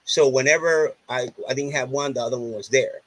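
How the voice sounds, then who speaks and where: A man's voice: medium pitch, fairly even intonation, brisk, no room sound, low-quality recording. A man from Puerto Rico whose first language is Spanish, with a Puerto Rican accent, telling stories earnestly in a casual interview and often repeating himself. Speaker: podcast guest.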